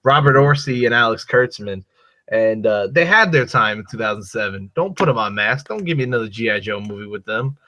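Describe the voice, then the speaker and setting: A man with a Boston accent hosting a podcast, giving loud, profane, emphatic opinions in casual chat. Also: lowish voice, lively intonation, fairly quick. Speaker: podcast host. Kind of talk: casual chat about movies and toys.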